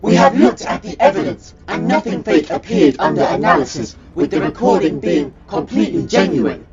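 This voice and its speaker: Man acting a gravelly reptile commander over loud music. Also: on the high side, lively intonation. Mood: stern, calculating. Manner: formal, measured, declarative. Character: pragmatic, commanding Silurian reptile military leader. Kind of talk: audio drama acting. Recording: muffled lo-fi, loud music behind.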